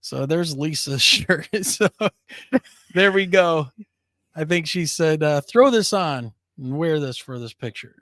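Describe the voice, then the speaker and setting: A man's voice: on the high side, animated, unhurried, fairly bright tone, no room sound, studio quality. A man co-hosting a casual live-streamed talk show, chatty and upbeat, his voice scratchy and worn. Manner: upbeat, chatty, laughs easily. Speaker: co-host of a paranormal talk show.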